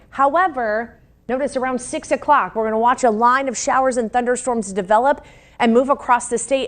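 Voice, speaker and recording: A woman with an American accent presenting a live TV weather forecast and news in a broadcast style. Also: high-pitched.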